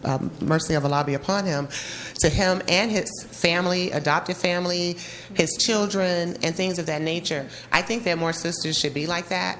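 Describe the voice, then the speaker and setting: A woman with a low voice comments from the floor at a public forum, over faint noise. Her delivery is informal and emphatic, making her opinion plain in everyday conversational language. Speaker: audience member commenting from the floor.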